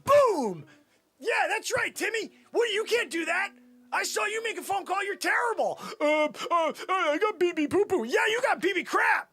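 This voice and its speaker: A man acting a pushy, bragging, sleazy cold-call salesman, improvising comic patter in a husky, strained voice that is brash, wheedling and repetitive. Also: extremely high pitch, moderate pace, hardly any pauses, soft background music. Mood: mocking, aggressive. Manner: brash, wheedling, repetitive, swinging between whispers and yells.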